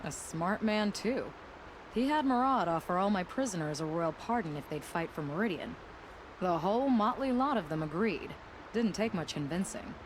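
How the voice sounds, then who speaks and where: An actress playing a stern, swaggering warden in a video game, boastful and commanding. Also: female voice, on the low side, animated, moderate pace, noisy background, close to an everyday voice. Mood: proud, wry. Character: stern, swaggering female prison warden warrior. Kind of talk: video game drama acting. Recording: clear outdoor game ambience behind voice.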